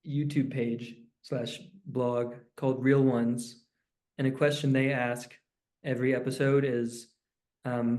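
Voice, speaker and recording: Male interviewer, mid pitch, mellow warm tone, easygoing. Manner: brief backchannels, relaxed questions. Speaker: interview host. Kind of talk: casual interview.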